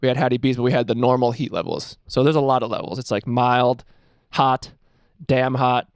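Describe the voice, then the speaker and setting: Crisp-voiced male podcast host. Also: mid-range voice, a little fast, no room sound, clean studio sound. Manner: informal, slangy, profane. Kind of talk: casual podcast chat.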